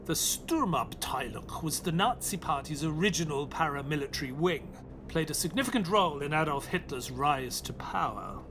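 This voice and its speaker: A man's voice: a little high, lively intonation, moderate pace, background noise. An actor playing an earnest, weary male companion to a detective, questioning and reflective, in an audio play. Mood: thoughtful. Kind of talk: audio play acting and narration.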